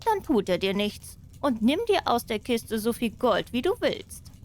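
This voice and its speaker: A woman voicing a sly old witch in a storybook tale, coaxing and deliberate. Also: a little high, big pitch swings, a little mellow.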